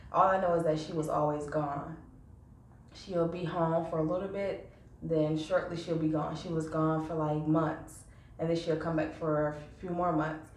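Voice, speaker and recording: Low-voiced woman recalling her mother. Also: a little mellow, a little hiss or hum. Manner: casual, with fillers. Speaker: interviewee. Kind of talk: personal testimony. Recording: echoey room, mic at a distance.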